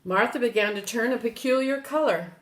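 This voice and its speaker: An older woman reading a children's story aloud in an expressive way, with brief asides. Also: a little high, a little fast, slight background noise. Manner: expressive, animated. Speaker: storybook reader. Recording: roomy echo.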